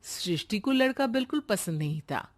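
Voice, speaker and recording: A woman's voice: mid-range voice, expressive, moderate pace. A woman narrating a scripted moral story as a storyteller.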